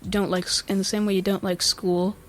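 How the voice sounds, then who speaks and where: A teenage boy chats on his home podcast, his voice scratchy and rough as if he has just woken up. He speaks haltingly, filling pauses with "uh" and "like" and often clearing his throat. A faint background hiss sits under his voice. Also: sits high, little pitch movement. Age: teens. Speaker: podcast co-host. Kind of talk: casual podcast chat. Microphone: home podcast microphone with mixer.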